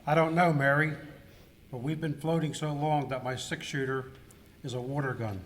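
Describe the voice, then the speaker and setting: Man acting a high-voiced wisecracking cowboy. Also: steady tone, moderate pace, lightly acted. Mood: grumbling. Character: grumbling wisecracking male cowboy outlaw Bob. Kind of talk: amateur live radio skit acting. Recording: echoey hall, noisy live recording.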